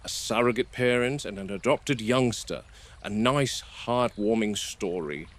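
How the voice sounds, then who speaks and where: A man playing a stern, cautious game warden, speaking in a measured, matter-of-fact way as in a scripted found-footage field log. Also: fairly low pitch, lightly acted. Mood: measured, observant. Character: stern, cautious male game warden logging park animal observations.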